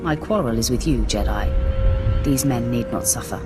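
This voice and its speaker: A woman's voice: very deep voice, moderate pace. A woman voicing an arrogant, ruthless Sith apprentice in a video game, with a cold, curt and haughty manner. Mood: cold, defiant. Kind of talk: video game cutscene acting. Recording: game music behind the voice.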